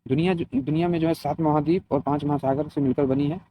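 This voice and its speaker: A man's voice: on the high side, steady tone, fast talker, dark tone, no room sound. A man teaching a geography lesson, reading from notes and adding short instructional explanations. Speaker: geography teacher for competitive exam students. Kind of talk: scripted lesson read aloud with short explanations. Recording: dull, lo-fi, muffled sound.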